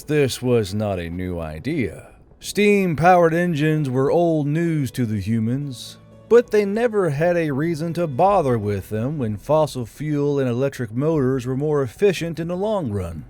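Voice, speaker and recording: A man's voice: medium pitch, sing-song, unhurried, mellow. A man reading scripted fantasy fiction as an audiobook narrator. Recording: music behind the voice. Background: background music.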